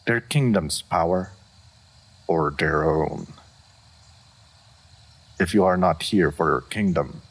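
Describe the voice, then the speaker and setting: A man acts out an ancient, regal male dragon in human form for a fantasy audio drama. The voice is very low and husky, with slow, deliberate phrasing. He is guarded and stoic, choosing formal words. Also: heavily acted. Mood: suspicious, guarded. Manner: formal, measured, stoic speech with deliberate pauses. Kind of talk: scripted fantasy audio roleplay.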